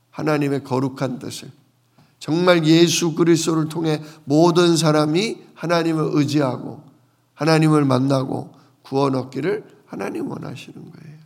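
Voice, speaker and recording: A man preaching a conversational Bible sermon as a pastor, explaining things with rhetorical questions in a gravelly voice. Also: a little high. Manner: explanatory, asks the congregation rhetorical questions and answers them.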